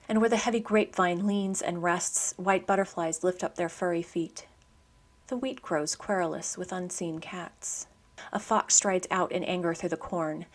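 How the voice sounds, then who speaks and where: A woman with a slightly low voice presents a poetry program. She reads from a script, both commentary and verse, in a measured, literary manner. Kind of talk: scripted narration and poetry reading.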